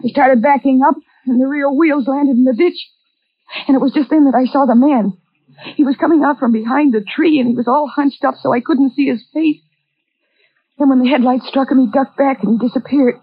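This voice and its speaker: High-pitched young woman, scared yet plucky sister in a forties radio thriller, earnest and dramatic, dull old recording. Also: steady tone, fairly quick, husky. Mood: frightened, insistent. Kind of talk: 1940s radio thriller acting. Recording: dull band-limited vintage radio recording.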